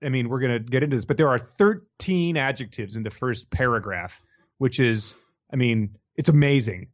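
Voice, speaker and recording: A man chats casually as a podcast co-host, with a wry, halting way of talking that keeps circling back to rephrase. His pitch sits at an ordinary male middle. The recording is slightly dull and lo-fi. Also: animated. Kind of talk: casual chat, comic book discussion.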